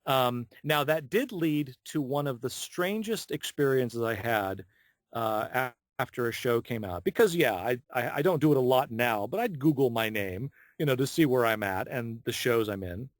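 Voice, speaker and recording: Male voice actor as podcast guest, casual animated storyteller who plays out quoted lines, dry close sound. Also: medium pitch, normal speed, no echo. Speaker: interviewed anime voice actor. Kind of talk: casual anecdotal interview talk.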